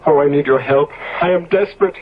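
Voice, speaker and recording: An actor playing a desperate, guilty young gentleman in a 1970s radio drama, with stammering, theatrical, pleading delivery. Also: fairly high pitch, fairly quick, husky. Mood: anxious, grateful. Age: young adult. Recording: dull, lo-fi old radio recording.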